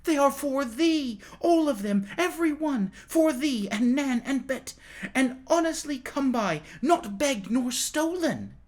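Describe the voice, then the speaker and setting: A storyteller voicing an excitable poor young boy in a storybook reading, with a raised, eager voice. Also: a little slow. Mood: joyful. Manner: bright, eager, high-pitched.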